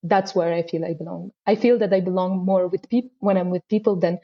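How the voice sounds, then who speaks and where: Young woman guest from Afghanistan, mellow low voice, reflective with fillers, dull lo-fi audio. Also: steady tone, brisk. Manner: thoughtful, many fillers. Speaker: guest, PhD scientist. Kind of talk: podcast interview answers.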